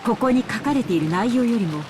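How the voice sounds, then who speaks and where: A woman's voice: on the high side, expressive, rapid-fire, background noise, close to an everyday voice. A woman voicing a stern, dignified middle-aged lady of the house in a game drama, formal and commanding. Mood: grave, displeased. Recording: heavy rain ambience behind the voice.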